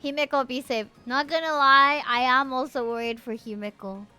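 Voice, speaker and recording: A woman livestreaming a game, chatty and expressive as she comments casually. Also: high-pitched, moderate pace, smooth. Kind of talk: casual stream commentary, reading game dialogue aloud.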